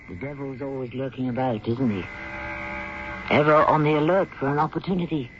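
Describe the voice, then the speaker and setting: Theatrical American male radio narrator, muffled. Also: a little high. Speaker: host and narrator of a radio mystery series. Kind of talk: dramatic scripted narration. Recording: muffled radio broadcast with music behind. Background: music.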